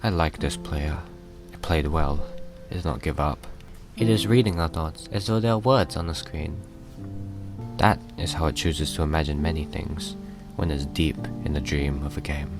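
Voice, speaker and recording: A man voicing an unseen cosmic being, reciting a poem in a solemn, measured, deep voice. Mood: solemn, knowing. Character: unseen godlike male being narrating a poem, solemn and knowing. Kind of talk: scripted poetic narration. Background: music.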